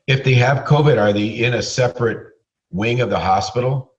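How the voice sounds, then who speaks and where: A man's voice: mid-range voice, moderate pace, muffled recording. A man co-hosting a radio show in a conversational style.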